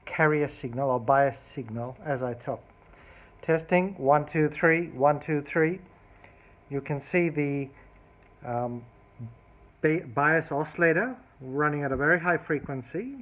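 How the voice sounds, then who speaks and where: A man giving an unscripted, hands-on technical demonstration, explaining methodically and thinking aloud as he works through an electronics repair. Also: on the high side. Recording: thin phone-like band-limited sound.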